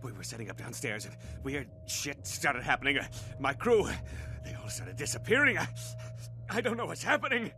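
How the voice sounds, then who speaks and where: A man's voice: mid-range voice, lively intonation, moderate pace, sharp tone, faint music behind. A man playing a terrified, babbling TV parapsychologist in a video game, stammering in frantic hysteria. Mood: shaky confession.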